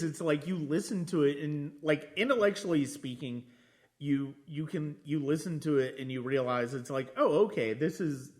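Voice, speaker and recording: A man hosting a casual film discussion, halting as he thinks aloud and often restarting his phrases. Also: mid-range voice. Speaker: podcast host discussing films.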